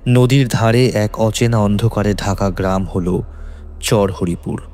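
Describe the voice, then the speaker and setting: A man's voice: fairly low pitch, darkish tone, slight background noise. A man with a Bengali accent narrating a scripted horror story in a dramatic, suspenseful way.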